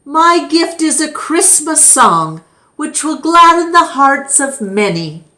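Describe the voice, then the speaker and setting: A woman puts on a proud townsman from a children's storybook. He declaims his gift loudly and theatrically, stretching the words out in an echoey room. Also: sits high, big pitch swings, takes it slowly, voice pushed up, heavily acted. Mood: proud, declaiming.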